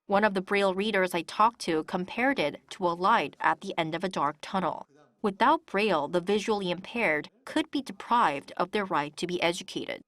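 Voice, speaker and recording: A woman narrates a news report from a script. Her voice sits a little low for a woman and is recorded very dry, with no room sound. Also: moderate pace, fairly bright tone, no echo.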